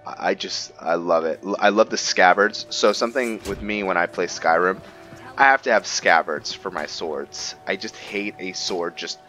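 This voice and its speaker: A man's voice: low voice, moderate pace, bright, forceful tone. A man giving casual roleplay commentary on a video game, rambling and thinking aloud as he plays. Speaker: gaming video host. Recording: dull lo-fi mic under music. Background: game music.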